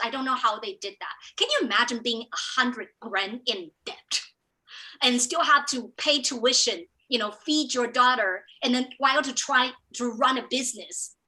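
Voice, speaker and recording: A woman's voice: on the high side, bright, forceful tone, rough recording. A middle-aged woman from Taiwan with a polished American-sounding English accent leads a motivational business training. She talks with enthusiasm and often repeats words as she starts a thought. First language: Chinese. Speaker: business coach hosting a training. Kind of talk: motivational live training talk.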